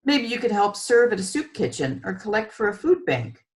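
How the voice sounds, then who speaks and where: A woman reading the part of a busy, kindly grandmother in a storybook, wry and gently self-deprecating. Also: mid-range voice, big pitch swings, normal speed, close to an everyday voice. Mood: encouraging. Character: busy, kindly grandmother who knits socks.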